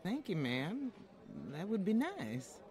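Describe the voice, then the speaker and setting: A woman's voice: mid-range voice, big pitch swings, slow, warm tone. An actress playing an elderly, plainspoken, dignified Southern housekeeper with a Southern US accent, telling her life story in a folksy radio drama monologue. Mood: polite, deferential.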